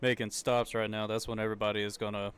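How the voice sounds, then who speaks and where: A man's voice: on the low side, flat delivery, quick pace, fairly bright tone, smooth. A young man with a Southern US accent giving casual, chatty live commentary on a car race, with loose slang. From American South. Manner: chatty, loose, laughs often, says dude and like.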